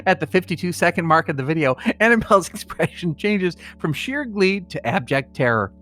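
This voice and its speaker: A man's voice: sits high, very animated, a little fast, a bit bright, faint music behind. A middle-aged man co-hosting a comedy podcast, moving between mock-solemn reading and casual chat. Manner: breaks into laughter while trying to read gravely.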